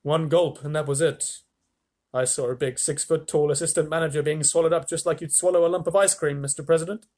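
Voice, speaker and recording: A man's voice: on the high side, fairly even intonation, brisk, darkish tone, lightly acted. A man voicing an earnest male astronaut in a children's story, reporting in a plain-spoken, folksy way. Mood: shaken, relieved.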